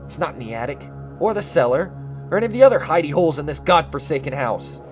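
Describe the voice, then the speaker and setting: An actor playing a greedy, short-tempered schemer in a melodramatic radio play, brooding and domineering. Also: male voice, fairly high pitch, big pitch swings, quick pace, faint music behind. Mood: agitated, obsessive. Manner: brooding, muttering to himself, domineering. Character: scheming, greedy, short-tempered man hunting a hidden inheritance.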